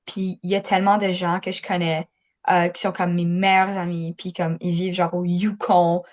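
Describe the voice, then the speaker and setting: Fille jeune, d'ici, ton cool et naturel, voix assez grave. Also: female voice, fairly low pitch, moderate pace. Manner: relaxed, uses fillers like genre and comme. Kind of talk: casual chat.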